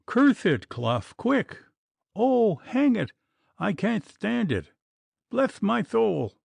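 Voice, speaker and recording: A man voicing a small, panicky young gentleman who lisps and is out of breath. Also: a little high, huge pitch swings, takes it slowly, gravelly, dry recording, voice pushed up, exaggerated voice. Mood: frantic. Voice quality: lisping. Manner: frantic, pleading.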